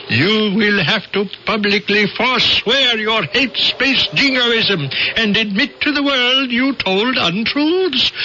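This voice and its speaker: An actor playing a stern, proud alien visitor in an old-time radio drama, speaking in a formal, pompous way. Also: male voice, high voice, pushed and bright, heavily acted. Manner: formal, pompous, deliberate speech. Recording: dull, noisy old radio recording.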